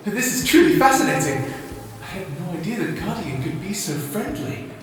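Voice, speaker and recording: A man's voice: high voice, sing-song, normal speed, crowd noise. Heavily echoing young male wizard played by a man, shy, lovestruck, dramatic swooping delivery, fantasy monologue. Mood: smitten. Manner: exclamatory, self-questioning. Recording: heavy echo, long reverb.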